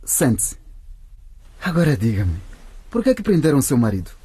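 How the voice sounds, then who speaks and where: Curt, lively-pitched wealthy councillor. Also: male voice, moderate pace, slightly rough, dry recording. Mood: brusque, suspicious. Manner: brusque, impatient. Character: curt, irritable wealthy male councillor with a guard dog. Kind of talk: radio drama acting.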